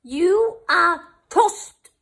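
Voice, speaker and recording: A woman voicing a grumpy, excitable little boy dragon in a storybook, exclaiming and growling with drawn-out words. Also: very high voice, creaky, voice pushed up, full character voice.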